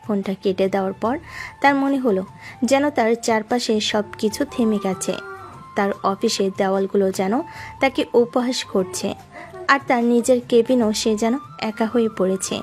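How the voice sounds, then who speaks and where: A woman's voice: on the high side, normal speed, a little mellow. A woman narrating a scripted romantic story in a dramatic storytelling style. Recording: music behind the voice.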